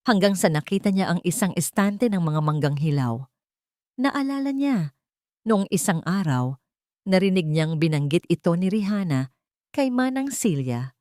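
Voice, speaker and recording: A woman with a Filipino accent narrating a scripted romantic drama story in a dramatic, measured storytelling manner. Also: on the low side, animated, darkish tone, dry recording, clean studio sound.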